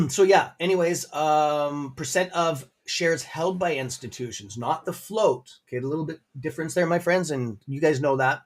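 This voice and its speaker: A friendly Canadian man talks through a livestream in a loose, filler-laden way. His voice sits a little higher than most men's. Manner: rambling, filler-heavy, friendly. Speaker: guest presenter on a stock livestream. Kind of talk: casual livestream chat.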